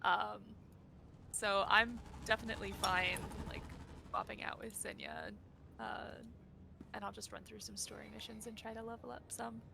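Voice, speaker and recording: A woman's voice: medium pitch, little pitch movement, moderate pace, creaky, background noise. A woman new to the game, chatting casually and agreeably with her group. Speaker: new player in a gaming group. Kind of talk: casual gaming chat.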